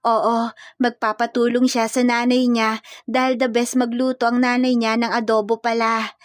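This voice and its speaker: A woman reads romantic comedy fiction as a storyteller. Her voice sits fairly high. The recording is dry and close, with very little room sound. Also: little pitch movement, moderate pace, dry recording. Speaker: story narrator.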